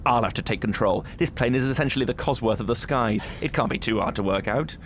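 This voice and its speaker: A man's voice: medium pitch, quick pace, a little hiss or hum, natural voice. A man playing a snobbish, deluded British detective with a pompous, grandiose way of talking in a comic audio drama.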